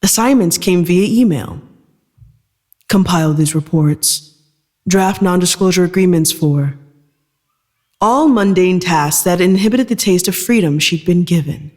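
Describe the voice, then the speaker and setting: A man's voice: high-pitched, measured pace, darkish tone. A man narrating scripted dramatic fiction in the third person. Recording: long reverb, guitar music behind.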